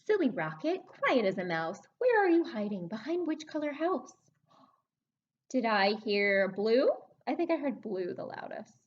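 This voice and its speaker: A woman with a fairly high voice leads a story time for small children. Her pitch swings widely in a sing-song way as she reads and puts questions to her young listeners. The recording is a little dull and lo-fi. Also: big pitch swings, a little slow. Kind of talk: children's story time with read-aloud and rhyme game.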